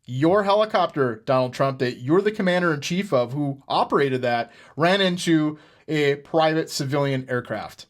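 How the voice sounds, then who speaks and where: A middle-aged man with an American accent giving unscripted podcast commentary in an earnest, halting manner, often repeating words. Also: on the high side, expressive. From United States. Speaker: podcast host. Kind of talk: unscripted political commentary with personal stories.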